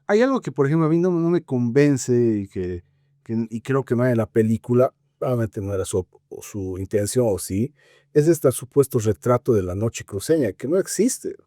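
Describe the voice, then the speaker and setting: Mellow Bolivian man, halting talker. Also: medium pitch, animated, no echo, studio quality. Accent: Bolivian Spanish. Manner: halting, searching for words, frequent filler tags. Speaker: film podcast co-host. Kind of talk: conversational film criticism.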